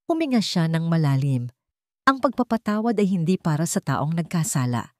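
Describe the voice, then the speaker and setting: A woman reading a scripted dramatic story as narrator, with measured, deliberate phrasing. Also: deep voice, very animated, no room sound, clean studio sound.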